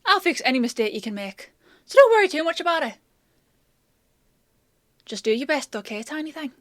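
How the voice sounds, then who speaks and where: A woman's voice: high-pitched, very animated, fairly quick, no room sound, studio quality. A woman playing a chatty, confident country apothecary in a fantasy audio roleplay, explaining and teasing as she talks to the listener. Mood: brisk, instructive. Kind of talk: one-sided roleplay acting addressed to the listener.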